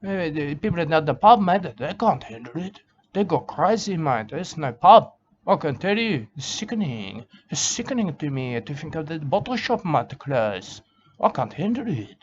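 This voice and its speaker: A man's voice: on the high side, big pitch swings, normal speed, slight background noise. Rambling Australian man, lo-fi recording. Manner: rambling, repetitive, broken grammar, keeps saying "mate". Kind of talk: rambling comic monologue. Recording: dull lo-fi recording with faint ambience.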